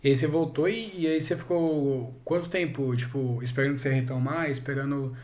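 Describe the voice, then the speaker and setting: This is a man who hosts a podcast. He asks his questions quickly and casually, mixing in slang. His voice is at a middle pitch for a man. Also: fairly even intonation, a little mellow. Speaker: podcast host. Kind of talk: casual chat.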